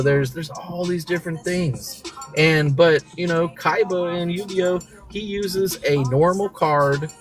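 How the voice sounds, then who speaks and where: An American man from the South hosts a casual motivational livestream, talking in a slangy, encouraging way and repeating key phrases for emphasis. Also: fairly high pitch. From southern United States (Mississippi and Florida). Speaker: talk show host. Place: in a vehicle during a commute.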